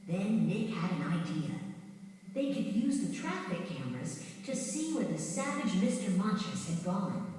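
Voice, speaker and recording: A woman reading a scripted children's story in a measured, dramatic storytelling manner. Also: fairly low pitch, big pitch swings, mellow. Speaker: storybook narrator. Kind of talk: scripted children's read-along narration. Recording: very echoey, distant, music behind. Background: music.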